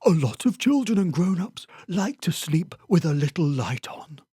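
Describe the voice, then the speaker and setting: A storyteller voicing a friendly male creature made of green lamplight, speaking gently and without hurry. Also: mid-range voice, sing-song, slightly rough, no room sound, studio quality. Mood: kindly. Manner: gentle, unhurried.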